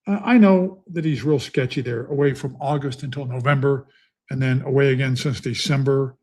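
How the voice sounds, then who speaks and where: A man's voice: medium pitch, steady tone, moderate pace, darkish tone. A middle-aged man talking casually on a live stream from his basement home studio, rambling and thinking aloud with many fillers as he handicaps horse races. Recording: echoey basement room.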